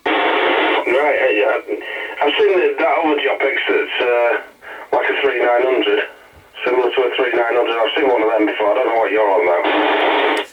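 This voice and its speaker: A man's voice: mid-range voice, normal speed. An English man chatting casually as a radio contact, his voice coming through a CB transmission. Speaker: radio contact on the other end. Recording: muffled, very noisy CB radio, distant.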